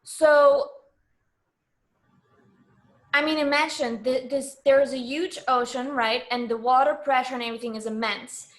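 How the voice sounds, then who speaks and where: High-voiced woman, earnest interview guest. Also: steady tone, measured pace, pauses often. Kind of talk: conversational interview.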